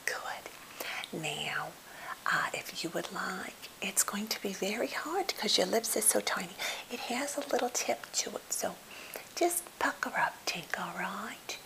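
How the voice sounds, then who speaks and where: Woman acting a slow, whispering, gently odd captive lady. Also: medium pitch, animated, full character voice, poor audio. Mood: soothing, fussy. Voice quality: breathy whisper with fry. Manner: halting, gentle, polite, fussily coaxing. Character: timid, slightly odd woman held captive, eager to please. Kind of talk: ASMR role play.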